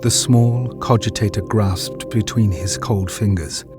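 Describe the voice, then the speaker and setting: Casting: solemn male story narrator, low register, very unhurried, music bed. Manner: measured, solemn. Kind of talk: scripted dramatic story narration. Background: loud music.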